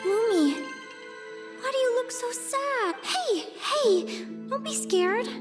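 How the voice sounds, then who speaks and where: A woman playing a gentle, anxious young adventurer in a video game, with a light girlish voice and a hesitant, wondering way of talking to herself. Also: very high-pitched, lively intonation, slow, over music, heavily acted. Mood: gently concerned.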